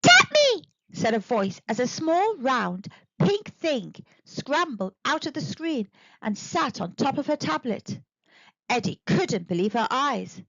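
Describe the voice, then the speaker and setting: A woman narrating a children's storybook in an expressive, deliberate read-aloud manner. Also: fairly high pitch, creaky, dry recording, lo-fi sound. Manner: animated, deliberate.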